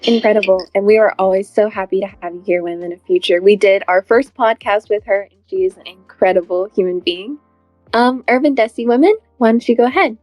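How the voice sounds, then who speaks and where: A woman's voice: mid-range voice, brisk, darkish tone, no echo, faint music behind. A woman hosting an online panel, moderating in an encouraging, upbeat way. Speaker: host.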